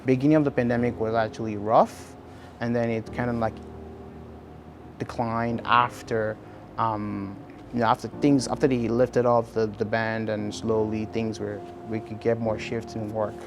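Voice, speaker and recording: A man giving a halting, hesitant personal account in a documentary interview, with background noise. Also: on the low side, little pitch movement. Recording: clear ambient background noise. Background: ambient noise.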